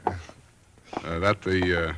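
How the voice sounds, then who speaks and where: An American actor playing a skeptical, good-humored friend in a 1940s radio drama. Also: male voice, low-pitched, little pitch movement, drawn-out words. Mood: easygoing, teasing. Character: skeptical, good-humored friend named Sam, brushing off fears. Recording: old radio recording, faint noise.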